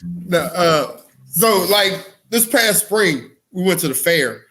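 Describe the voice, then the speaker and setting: A man's voice: high voice, lively intonation, takes it slowly, bright, forceful tone. A man co-hosting a comedy podcast, telling everyday stories in slangy, exclamatory casual talk with some swearing. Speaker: podcast co-host. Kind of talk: casual comedic chat and storytelling.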